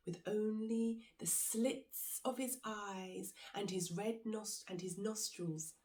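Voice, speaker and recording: A woman's voice: on the low side, a little mellow. A woman reading a children's folk tale aloud as a storyteller, with dramatic delivery aimed straight at the listener. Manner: dramatic, engaging the listener directly. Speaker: author and storyteller.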